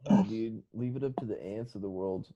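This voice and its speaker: A man's voice: on the low side, brisk, dull audio, a crowd in the background. A man with an American accent in casual comedic podcast chat, joking, laughing often and swearing freely. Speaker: podcast co-host.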